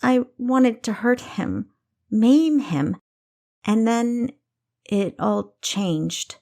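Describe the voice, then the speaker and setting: A woman voicing a shaken, ashamed young woman in an audiobook, sullen and halting. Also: fairly high pitch, expressive, a little mellow, voice pushed up, full character voice. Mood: distressed, halting. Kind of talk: audiobook character dialogue.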